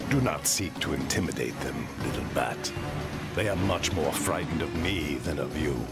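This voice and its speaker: An actor playing a menacing, grandiose dark sorcerer lord in a video game, commanding and imperious. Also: male voice, extremely low pitch, animated, normal speed, creaky, exaggerated voice. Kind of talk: video game villain acting. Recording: loud music behind voice.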